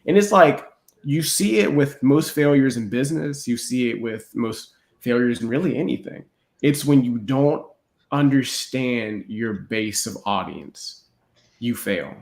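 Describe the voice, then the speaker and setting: A man with a gravelly, creaky voice and medium pitch chats as a podcast co-host. He is casual and opinionated, leaning on incredulous rhetorical questions, and the room around him is echoey. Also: measured pace. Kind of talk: casual opinionated film chat.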